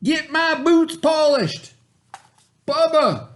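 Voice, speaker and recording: A man voicing spoiled, bossy young cowboy stepbrothers in a shrill, drawling storybook voice. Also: expressive, very slow, full character voice.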